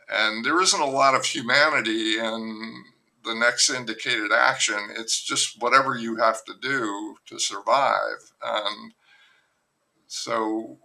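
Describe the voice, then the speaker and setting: An older man, a novelist, talking through his book in a relaxed, thoughtful interview, pausing often and restarting sentences. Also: medium pitch, gravelly, low-quality recording. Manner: unhurried, thoughtful, with frequent fillers and restarts. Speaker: novelist guest. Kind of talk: reflective conversational interview.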